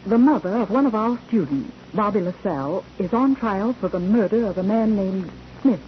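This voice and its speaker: A woman's voice: mid-range voice, lively intonation, moderate pace, natural voice. An actress playing an earnest, well-bred officer's wife in a 1940s radio drama, formal and emphatic as she pleads. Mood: polite, anxious. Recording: muffled, faintly noisy old radio recording.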